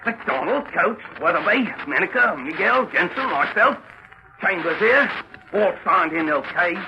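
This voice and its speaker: A deferential steward in a vintage radio play. His voice is high and animated, earnest and obedient, on a dull old recording with music behind. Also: unhurried, creaky, noisy background, heavily acted. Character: fussy, deferential ship's steward. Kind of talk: 1940s radio mystery acting.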